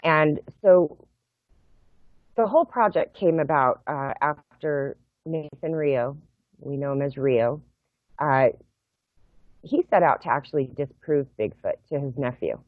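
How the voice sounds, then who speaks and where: A middle-aged woman, a guest on a video call from home, explaining her project in a measured, thoughtful way with frequent pauses. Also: deep voice, no room sound. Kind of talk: explaining in an interview. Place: home room. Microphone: laptop webcam mic on video call. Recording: tinny phone-like video call audio, dry.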